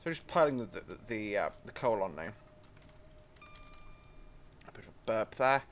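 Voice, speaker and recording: A man's voice: on the low side, big pitch swings, normal speed, a little hiss or hum. A young British man giving rambling, chatty commentary while driving a train simulator, with frequent apologetic asides. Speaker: gaming channel host. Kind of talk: casual train-sim let's-play commentary.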